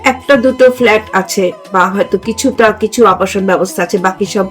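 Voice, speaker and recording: A woman's voice: mid-range voice, normal speed, faint music behind. An older woman with a Bangladeshi accent hosting a conversational discussion, emphatic and often repeating herself to seek agreement. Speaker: talk show host, retired judge.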